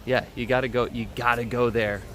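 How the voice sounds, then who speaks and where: Guy livestreaming outside, bit hoarse, chatty w viewers and friends, medium pitch. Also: flat delivery, a little fast, a crowd in the background. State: slightly hoarse. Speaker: live stream host. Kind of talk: casual live-stream chat. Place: outdoors at a busy theme park.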